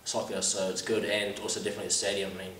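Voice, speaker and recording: Young New Zealand man, low and even. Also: rapid-fire, a little hiss or hum. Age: young adult. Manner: upbeat, repeats "definitely". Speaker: college soccer player. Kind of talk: interview answers. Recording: echoey room, mic far off.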